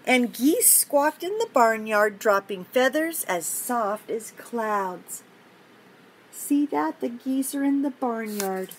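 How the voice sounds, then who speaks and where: An older woman reading a picture book aloud to young children, gently and encouragingly, with chatty asides and questions to the listener. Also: a little high, animated, takes it slowly, poor audio. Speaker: children's story reader and host. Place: indoor home office. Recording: clear background noise, room ambience.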